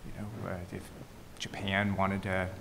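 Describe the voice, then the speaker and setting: A man's voice: low-pitched, monotone, measured pace, vocal fry, noisy background. A man on a discussion panel, answering questions hesitantly as he thinks aloud.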